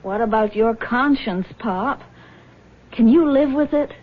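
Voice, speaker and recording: An actress in a radio drama playing an earnest, conscientious young adult daughter. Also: female voice, fairly high pitch, measured pace. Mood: earnest, concerned. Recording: tinny phone-like old radio broadcast.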